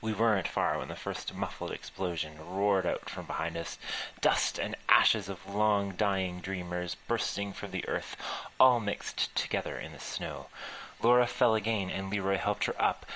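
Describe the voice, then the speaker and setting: A man's voice: low-pitched, moderate pace, hardly any pauses, bright, forceful tone, a little hiss or hum, poor audio. A man reading his own story as an amateur narrator, stumbling over words now and then.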